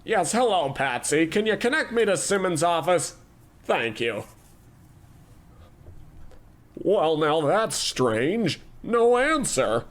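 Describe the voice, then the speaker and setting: An actor playing a pompous, self-absorbed tycoon boss in an old-time radio comedy, with a bossy, theatrical delivery. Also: male voice, fairly high pitch, very animated, takes it slowly. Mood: haughty.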